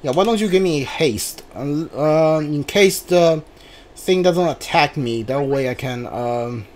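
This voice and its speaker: A man with a high voice narrates his gameplay live in a casual style. He speaks very slowly and haltingly, working out his choices aloud as he goes. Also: slight background noise. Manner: hesitant, halting, thinking aloud. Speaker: game streamer.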